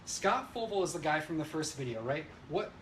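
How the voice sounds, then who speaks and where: A man with a rather high voice holds forth on a livestream. He is brash, opinionated and emphatic, swearing often and talking straight to his viewers without a script. There is faint noise in the recording. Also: sing-song, fairly quick, a bit bright. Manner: emphatic, agitated delivery. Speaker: livestreamer giving political commentary. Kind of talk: unscripted ranting livestream, reading viewer comments. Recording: noisy livestream audio.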